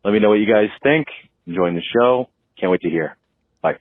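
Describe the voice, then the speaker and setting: A man's voice: lowish voice, expressive, a little fast, no room sound. A man from Alberta, Canada, leaving a casual fan voicemail over a narrow phone line. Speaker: voicemail caller.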